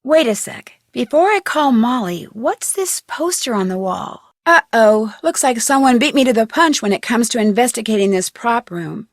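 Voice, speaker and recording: A young American woman playing an inquisitive, plucky amateur detective in an adventure game, speaking earnestly and expressively with clear enunciation. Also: fairly high pitch, animated, normal speed, few pauses, dry recording, clean studio sound. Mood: excited, startled. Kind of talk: scripted adventure game voice acting.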